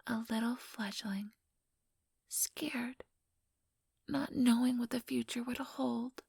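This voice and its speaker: A woman playing an ambitious vampire elder in a whispered ASMR roleplay, breathy, deliberate and patronizing, with cool menace. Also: a little high, fairly even intonation, darkish tone, no echo, studio quality, exaggerated voice. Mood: taunting, probing.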